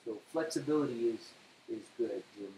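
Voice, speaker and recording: A man's voice: mid-range voice, a little fast, dark tone. A man chatting casually as a co-host helping out with the cooking. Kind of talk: casual chat. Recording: echoey room with faint hiss.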